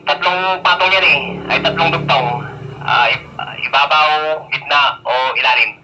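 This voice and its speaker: A man's voice: fairly high pitch, fairly even intonation, normal speed. A man chatting informally as an amateur radio operator, using radio call phrases over a two-way radio link. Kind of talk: casual two-way radio chat. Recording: dull lo-fi two-way radio with noise.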